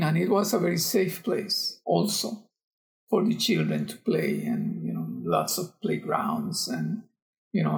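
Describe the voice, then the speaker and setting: An older man with a Costa Rican accent answers interview questions conversationally, pausing and searching for words. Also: on the high side, gravelly, rough recording. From Costa Rica. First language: Spanish. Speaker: geography professor, podcast guest.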